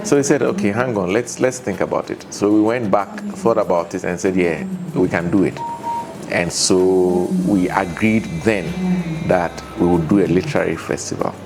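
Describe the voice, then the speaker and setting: A man being interviewed as a festival director, telling an anecdote in an animated way. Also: sits low, moderate pace. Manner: animated storytelling, quoting himself. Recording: music under voice. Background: music bed.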